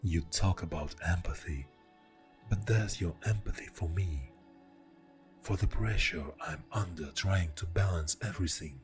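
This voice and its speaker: A man acts out an exasperated boyfriend in an intimate ASMR roleplay. His voice is very low and soft, and he takes his time, sighing and pausing as he defends himself. Music plays behind him. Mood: hurt, reproachful. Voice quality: deep, soft, breathy voice. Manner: slow, pausing, with heavy sighs. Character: exasperated, defensive boyfriend arguing with a jealous partner.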